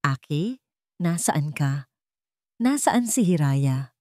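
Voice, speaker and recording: A woman's voice: low-pitched, very animated, slow pace, dark tone, dry recording, heavily acted. An anguished, powerful businessman and father from a melodramatic audio story, acted out by a woman. He speaks with urgency and command, in a dry, close recording with no room sound. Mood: forced calm.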